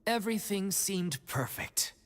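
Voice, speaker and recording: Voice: medium pitch, animated, measured pace, natural voice. An actor voicing an earnest, polite theater troupe member in a video game, explaining things expressively. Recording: game music behind.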